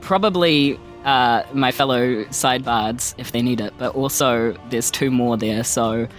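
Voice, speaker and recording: A woman's voice: rumbling low, measured pace. A woman with a New Zealand accent, chatting casually and self-deprecatingly as a tabletop game player. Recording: background music under speech. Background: music.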